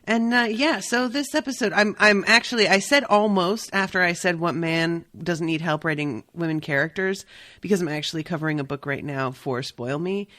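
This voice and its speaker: A woman's voice: fairly low pitch, fairly bright tone, no room sound. Chatty woman podcast host, dry sound. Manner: chatty, swearing, quick asides with laughs. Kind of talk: casual chat.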